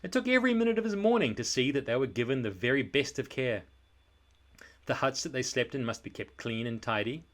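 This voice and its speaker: A man narrating a children's storybook aloud in an expressive, scripted style, with dramatic, deliberate emphasis. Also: mid-range voice.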